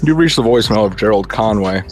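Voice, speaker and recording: A man with a fairly low voice plays a tabletop role-playing game. He improvises his character's lines casually and matter-of-factly on a headset, with soft music in the background. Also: expressive, fast talker.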